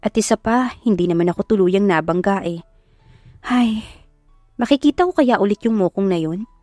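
A woman voicing a feisty young eldest sister in an audio drama, sassy, grumbling and expressive. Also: medium pitch, moderate pace, no echo, clean studio sound, soft background music, close to an everyday voice. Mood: grumbling, smitten.

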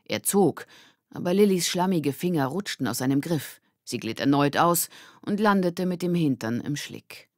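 This is a narrator of a children's audiobook, reading scripted prose. The pitch sits in the middle, and the recording is dry, with no room sound. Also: expressive, moderate pace, no room sound.

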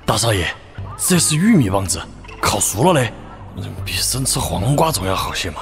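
A good-natured old country servant in a radio play. He is humble and mild, speaking deferentially with an animated rise and fall. Music plays clearly behind him. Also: fairly low pitch, normal speed. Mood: meek, helpful. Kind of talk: Chinese audio drama acting.

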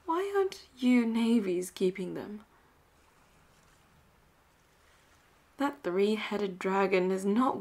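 A woman acting a flirty, dominant and cocky female pirate captain in a roleplay audio drama, teasing and breathy, often dropping toward a whisper. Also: medium pitch. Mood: incredulous, threatening. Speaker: voice actor.

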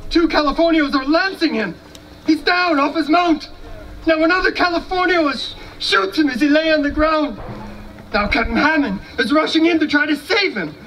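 Guy doing a folksy boastful yankee soldier, really high voice, outdoor reenactment, far from the mic w/ noise. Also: moderate pace, bright, forceful tone. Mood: alarmed. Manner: blustering, folksy, scornful. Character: proud, folksy American soldier boasting about his army. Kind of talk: live historical battle dramatization read from script. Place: outdoor stone amphitheater, far camera. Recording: distant outdoor recording with noise.

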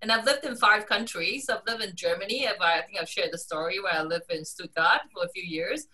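Bright, animated woman on a video call. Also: a little fast, far from the mic, low-quality recording. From Malaysia, raised in Singapore. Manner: animated storyteller, laughs often, lively pitch swings. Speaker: guest, strategy consultant. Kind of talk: casual video-call interview.

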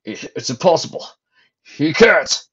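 A woman voicing a serious, sharp-spoken older man, a great-uncle in a story. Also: deep voice, animated, a little slow, husky, highs cut off, voice pushed up, exaggerated voice. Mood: sharp, uneasy. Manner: sharp, declarative.

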